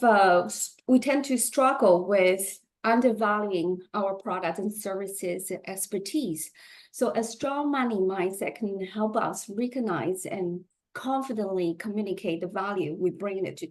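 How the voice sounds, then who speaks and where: A woman guest coach speaks on a video call at a mid pitch. She talks slowly and haltingly, with fillers and the odd light laugh. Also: a little mellow, low-quality recording. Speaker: money mindset coach. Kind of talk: guest interview. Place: Vancouver, Canada.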